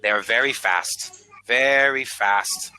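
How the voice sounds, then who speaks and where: American male tutor on video call, bright and animated, dry close mic. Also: medium pitch, dry recording, rough recording. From United States. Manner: patient, encouraging, speaks slowly and clearly with many fillers. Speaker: online English tutor. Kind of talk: casual conversation lesson.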